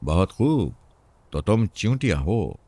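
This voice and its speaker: A male reader acts out a cheeky boy in an adventure tale, fed up and mocking. His delivery is taunting, lifted above the reader's deep narration, with a dry, close sound. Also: big pitch swings, slow pace, a little mellow, no echo, exaggerated voice. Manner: taunting, exasperated.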